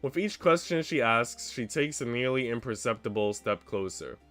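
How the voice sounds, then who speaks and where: A man's voice: mid-range voice, animated, normal speed, fairly bright tone. A man narrating a visual novel aloud as a streamer, reading out first-person story text. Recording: game music behind the voice. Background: visual novel music.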